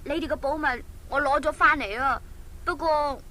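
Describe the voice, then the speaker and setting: 一個女人喺兒童廣播劇入面，用香港廣東話扮一個開朗有膽識嘅細仔、年輕裁縫，把聲好似男仔，講嘢認真又生動。. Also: female voice, moderate pace, low-quality recording. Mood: earnest. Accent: Hong Kong Cantonese. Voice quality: high boyish voice. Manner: earnest, animated. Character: cheerful, plucky youngest son, a young tailor. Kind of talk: Cantonese children's radio drama.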